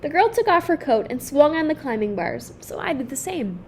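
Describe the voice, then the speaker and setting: A woman's voice: high-pitched, very animated, a little fast, slight background noise. Woman reading as a lonely, timid little boy. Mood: tentatively pleased. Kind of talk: children's picture book read-aloud.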